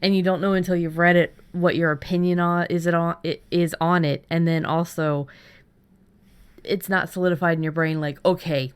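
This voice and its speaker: A woman's voice: on the low side, level tone, normal speed, smooth. A woman co-hosting a casual chat, thinking aloud in a loose, rambling way. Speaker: podcast co-host.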